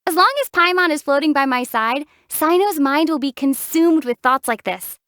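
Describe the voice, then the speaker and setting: A wry young traveler heroine. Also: female voice, high voice, normal speed, dry recording. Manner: dry teasing explanations and sharp battle shouts. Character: young female traveler hero, calm and wry. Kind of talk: video game voice acting. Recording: dry close studio voice.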